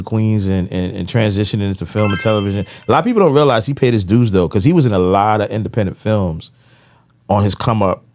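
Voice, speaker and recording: A man's voice: lowish voice, darkish tone, no room sound. A middle-aged man talking casually and slangily as a podcast co-host, often checking in with tag phrases. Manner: slangy, frequent "you know what I'm saying" tags. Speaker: podcast co-host, music industry veteran.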